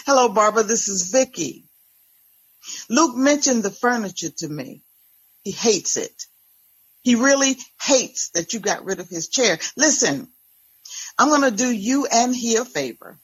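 Exasperated wife in a domestic audiobook drama, played by a woman, raspy edge, hard emphasis. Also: a little high, lively intonation, unhurried, pitched above the performer's own voice, low-quality recording. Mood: coolly cutting. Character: fed-up, furious pastor's wife confronting her husband.

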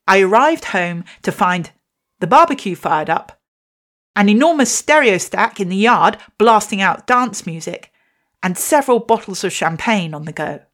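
A woman's voice: medium pitch, animated, normal speed, a bit bright. A woman, telling a reflective personal story and reading her poem with wry, self-deprecating humour. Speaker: podcast host and poet.

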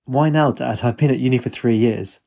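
Man acting young student daughter, exasperated, fond, dry delivery, mellow tone, gravelly creak, story character. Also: mid-range voice, brisk. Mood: concerned. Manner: dry, chiding.

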